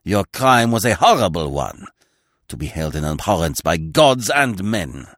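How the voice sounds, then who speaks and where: A man's voice: deep voice, very animated, a little slow, a bit bright, no room sound, clean studio sound, heavily acted. A man voicing a pompous, angry, sneering French examining magistrate in an audiobook, with theatrical, declamatory delivery. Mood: grandiose, condemning. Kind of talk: audiobook character acting.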